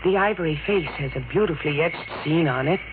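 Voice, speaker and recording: An actress playing a polite, genteel young wife in a 1940s radio drama, polished and expressive. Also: female voice, sits low, quick pace, close to an everyday voice. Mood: fascinated, appalled. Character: genteel newly married woman customer, polite and chatty. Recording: muffled, noisy old radio recording.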